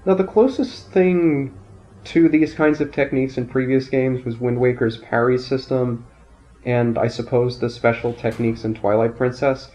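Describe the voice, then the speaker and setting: A man calmly commentating his gameplay, thinking aloud, his voice nasal and raspy from a sinus infection. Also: medium pitch, moderate pace. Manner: calm, explanatory, thinking aloud. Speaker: let's play commentator. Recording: dull lo-fi audio, faint game music.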